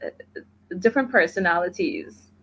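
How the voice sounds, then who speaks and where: A woman's voice: on the low side, animated, normal speed, slightly rough. A woman with a Guyanese accent chatting casually as an interview guest over a video call. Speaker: interview guest, makeup artist. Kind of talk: casual chat. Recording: muffled, noisy video call audio.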